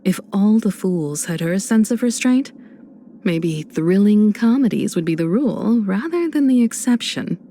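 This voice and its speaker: Casting: elegant adult woman navigator for a video game, unhurried, lively intonation, reassuring poise. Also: mid-range voice, a little hiss or hum. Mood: reflective, admiring. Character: graceful, composed, warm adult woman, spacefaring train navigator. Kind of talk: polished game voice acting.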